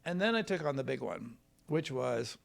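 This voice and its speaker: An American man from Wisconsin, a trial lawyer telling his story in a casual, rambling, folksy and halting way. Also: mid-range voice, very animated, vocal fry. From Wisconsin, United States.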